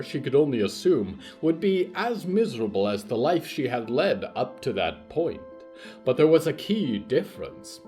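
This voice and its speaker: A man narrating scripted horror fiction in a measured, solemn, dramatic way. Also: mid-range voice. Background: soft plucked guitar music.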